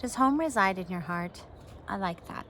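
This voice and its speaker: A woman teacher reading a storybook to pupils, expressive, with casual asides and questions to the young listeners. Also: mid-range voice, normal speed, slight background noise. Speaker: elementary school teacher.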